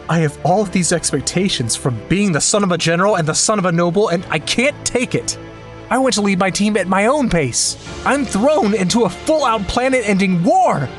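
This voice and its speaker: An actor playing an insecure, earnest young team captain in an audio drama, speaking with a pressured sincerity. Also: male voice, high-pitched.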